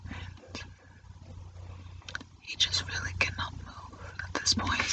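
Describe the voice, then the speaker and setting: A man speaks in a breathy, unvoiced whisper with a bright, airy edge. He takes his time, musing haltingly as he narrates his own drawing. The delivery is close-up ASMR, recorded indoors. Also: poor audio.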